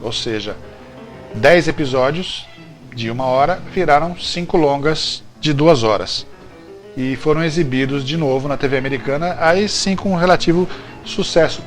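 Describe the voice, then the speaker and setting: A man's voice: fairly high pitch, moderate pace, faint music behind. A Brazilian man hosting a show, talking casually and jokily about trivia and often correcting himself. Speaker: show host. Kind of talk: casual, chatty TV-trivia commentary.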